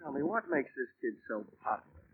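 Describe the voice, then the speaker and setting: An actor playing a wisecracking, sardonic American insurance investigator in an old-time radio detective drama, in a hardboiled wry style. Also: male voice, medium pitch, very animated, a little fast, phone-quality sound, a little hiss or hum, lightly acted. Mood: pressing. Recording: old band-limited radio recording with music.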